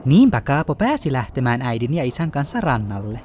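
A man's voice: medium pitch, sing-song, noisy background. A man narrating a cartoon story in a scripted storybook style.

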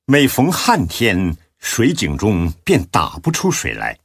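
A man narrating a scripted story as an audiobook narrator, in a deep, husky voice. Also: huge pitch swings, slow pace, no echo, studio quality.

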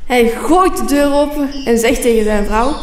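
A woman's voice: on the high side, moderate pace, slight background noise, natural voice. A woman reading scripted narration for a radio play. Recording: echoey room, faint music.

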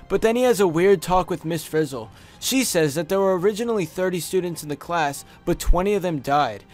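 A man narrating scripted creepy stories as a video host, recorded on a close microphone. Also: high voice. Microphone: close studio USB microphone. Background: music behind the voice.

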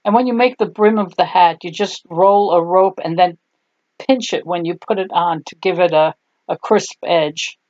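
A woman's voice: on the low side, normal speed, a little mellow, no room sound, highs cut off. An older woman, German her first language, chatting in a homey, rambling way while she shows a clay craft, tired at the end of the day. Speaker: craft tutorial host. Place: home craft table, indoors.

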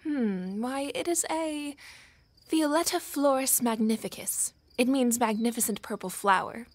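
Lady playing a young daughter in a period audio drama, highish voice, spirited but dutiful, very proper wording. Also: measured pace, no room sound. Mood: playful. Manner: polite, formal period phrasing. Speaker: voice actor.

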